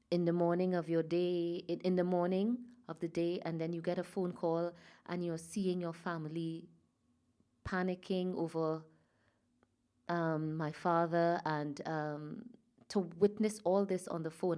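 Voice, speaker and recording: A woman from Trinidad and Tobago speaks as a guest in an interview. Her voice is low and mellow. She talks softly and reflectively, pausing often and filling gaps with hesitations. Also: smooth. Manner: soft, reflective, halting with fillers. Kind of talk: personal interview.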